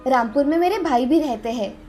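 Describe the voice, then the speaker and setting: Kind generous aunt voiced by a woman, high voice. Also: lively intonation, brisk, mellow, faint music behind. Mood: affectionate.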